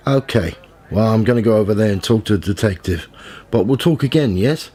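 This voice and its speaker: A man's voice: lowish voice, brisk, dark tone, lightly acted. A man in his fifties playing a cynical, world-weary journalist in a radio play, with a wry, dry manner. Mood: politely persistent. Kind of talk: radio play narration and dialogue.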